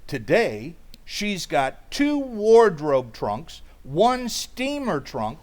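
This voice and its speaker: A man's voice: a little high, huge pitch swings, very slow, darkish tone, exaggerated voice. A man playing a middle-aged, wry and henpecked working-class pal in old-time radio comedy, with sardonic, folksy speech. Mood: cynical warning.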